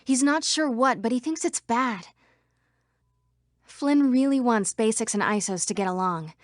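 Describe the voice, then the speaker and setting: Here is an earnest citizen program from a digital world in a video game. The voice is fairly high and very dry. Each line is crisp and dramatic. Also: female voice, lively intonation, measured pace, no echo. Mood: wary.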